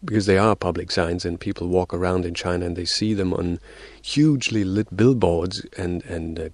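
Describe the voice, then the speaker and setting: A man's voice: low voice, no room sound. A man as a guest on a radio interview, explaining at length with frequent fillers and restarts. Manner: hesitant, many restarts and fillers; explains in numbered theories.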